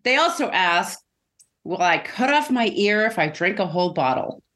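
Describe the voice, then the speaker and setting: A woman's voice: mid-range voice, very animated, moderate pace, a bit bright. A middle-aged woman co-hosting a podcast in a casual, conversational way. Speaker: podcast co-host.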